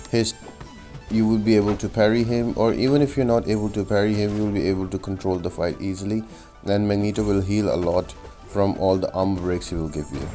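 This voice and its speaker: A man's voice: fairly low pitch, dark tone. A man giving a casual, step-by-step gameplay tutorial as a voice-over, explaining tactics as he goes. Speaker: video game guide host.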